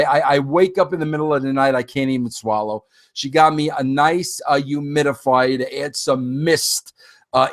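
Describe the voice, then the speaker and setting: A man in his fifties hosting a podcast, talking casually and animatedly straight to his listeners, often rambling and restarting his sentences. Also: mid-range voice. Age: 50s. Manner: addresses listeners directly. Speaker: podcast host. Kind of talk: casual solo monologue.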